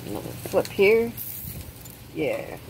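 A woman hosting her own cooking channel, explaining step by step outdoors at a grill, halting often with ums. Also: mid-range voice, very animated, darkish tone, a little hiss or hum, low-quality recording. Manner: unhurried, hesitant with frequent ums. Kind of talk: casual cooking demonstration.

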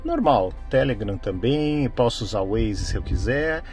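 Middle-aged Brazilian male tech vlogger, casual and explanatory, medium pitch, recorded on a phone in a car with faint music. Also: animated, normal speed, a little mellow. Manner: conversational, explanatory, frequent tag questions. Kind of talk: casual hands-on product demonstration. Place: inside a parked car. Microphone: phone camera microphone, no external mic.

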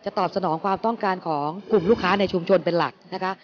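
Middle-aged woman, company director, interview, measured, hesitant fillers, slightly dull sound, faint noise. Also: medium pitch. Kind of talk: on-camera interview. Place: inside a supermarket. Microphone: handheld mic.

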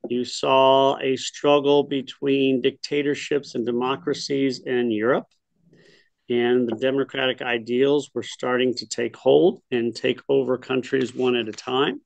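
Gravelly middle-aged man teaching over video. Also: mid-range voice, level tone, a little slow, no echo, rough recording. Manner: thinking aloud, self-correcting, quizzing the class. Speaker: teacher of an adult history class. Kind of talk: informal lecture over a video call. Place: home study with bookshelves. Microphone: webcam on a video call.

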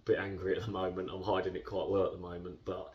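A man in his thirties, a football club captain, talking through a match in a post-match interview, chatty and measured with many fillers. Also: sits low, monotone, recorded from a distance, lo-fi sound. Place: indoor club room.

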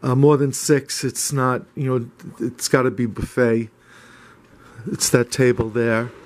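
A man's voice: medium pitch, steady tone, a little slow. A man giving a casual walking tour of his small renovated cottage, speaking hesitantly with many fillers and restarts, recorded on a handheld camera indoors. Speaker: homeowner giving a house tour.

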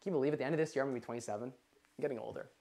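A man in his twenties hosting a live investing question-and-answer stream from a living room, energetic and gesturing, with lots of "like". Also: medium pitch, racing. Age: 20s. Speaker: livestream host. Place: living room with a couch, indoors.

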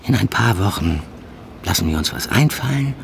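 Vehicle noise surrounds a low-voiced male police handler in an audio drama. He reassures and presses his agent in an insistent, often hushed way, with very lively swings in pitch. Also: moderate pace, vocal fry. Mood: calming, insistent. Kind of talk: naturalistic audio drama acting. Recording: noisy outdoor ambience with vehicle sounds.

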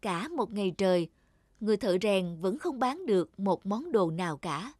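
A woman narrator reading a scripted fairy tale for an animated story. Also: medium pitch, moderate pace, no room sound, clean studio sound, faint music behind.

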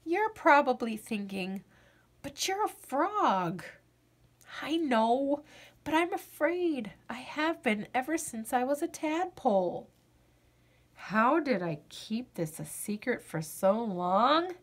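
A woman's voice: high voice, big pitch swings, slow pace, heavily acted. A woman voicing an anxious, earnest little boy frog from a picture book, hesitant and confiding. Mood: worried, confiding. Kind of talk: children's picture-book read-aloud.

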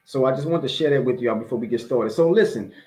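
A man's voice: mid-range voice, fast talker, darkish tone. An American man in his forties, an imam, gives a motivational religious talk in a conversational, emphatic way, repeating phrases for emphasis. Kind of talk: motivational religious lecture to camera. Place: small indoor room at home or office. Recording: roomy, echoey webcam audio.

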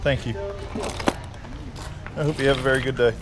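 A man's voice: medium pitch, fairly even intonation, normal speed, over loud music, poor audio. A man vlogging with a chest camera while browsing a covered outdoor flea market, chatting casually and friendly with sellers. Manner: easygoing, friendly small talk with sellers. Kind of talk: casual chat while shopping. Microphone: chest-mounted action camera. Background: crowd chatter.

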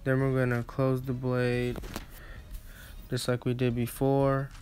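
A man's voice: medium pitch, completely flat delivery, slow, a little mellow, smooth, faint music behind. A man narrating a casual step-by-step barber tutorial, with hesitant, repetitive phrasing.

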